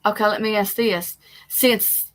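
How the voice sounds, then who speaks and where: A woman's voice: mid-range voice, fairly even intonation, fairly bright tone. Chatty East Tennessee woman host. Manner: chatty, halting, with many restarts. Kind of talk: casual livestream chat.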